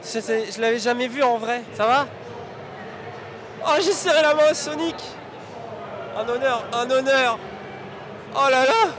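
A man's voice: very high voice, measured pace, long pauses. A man in his twenties joking casually as he hosts a vlog, speaking into a handheld mic at a convention. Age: 20s. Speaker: vlog host at a fan convention. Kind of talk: casual joking street interviews. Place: large busy convention hall. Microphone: handheld microphone. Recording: busy convention crowd behind.

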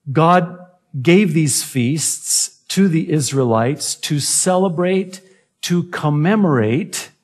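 Forties pastor guy, arabic first language, slightly high voice, takes his time, stresses words at the pulpit mic. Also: darkish tone. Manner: measured, emphatic preaching with slow stressed phrases. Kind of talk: sermon. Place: church sanctuary at a pulpit. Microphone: gooseneck pulpit mic.

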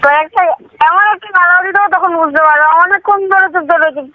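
A woman's voice: very high voice, racing. A woman in a casual phone conversation, answering with curt, dismissive comebacks. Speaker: prank call recipient. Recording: dull, noisy phone line.

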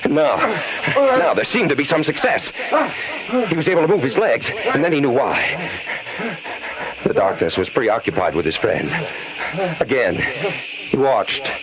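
A man narrating a radio horror play in an ominous, theatrical style with deliberate phrasing. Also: medium pitch, very animated, over a noisy crowd. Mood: mounting dread. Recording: muffled old 1970s radio recording. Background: loud music.

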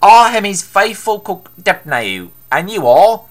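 A woman's voice: mid-range voice, big pitch swings, a little slow, voice pushed up. A woman playing a pompous, loyal royal cook in a storybook, formal and declaiming.

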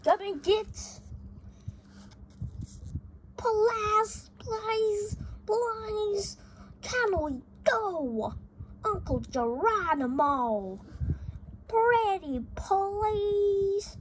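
An amateur reader voicing a young comic-book mouse begging in a drawn-out, wheedling way, recorded noisily at home. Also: extremely high pitch, animated, a little hiss or hum, pitched above the performer's own voice, exaggerated voice. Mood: pleading. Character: young mouse relatives begging their uncle sweetly.

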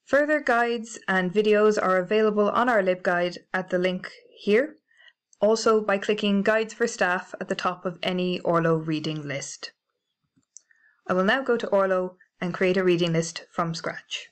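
A woman with a British accent narrating a library software tutorial, clear and methodical, spelling out codes letter by letter. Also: medium pitch, moderate pace. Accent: British English. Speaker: library staff trainer. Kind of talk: instructional screencast narration.

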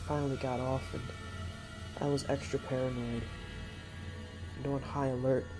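A narrator reading a first-person true story in a measured way, pausing between short phrases. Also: mid-range voice, little pitch movement, over music, poor audio.

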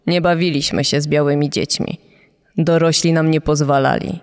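A man's voice: fairly high pitch, little pitch movement, moderate pace, a little mellow, clean tone, close to an everyday voice. A man reading out the recollections of an elderly woman as a documentary witness. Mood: solemn recollection. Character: elderly woman recalling her segregated childhood. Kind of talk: acted reading of a witness quote. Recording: long reverberant echo.

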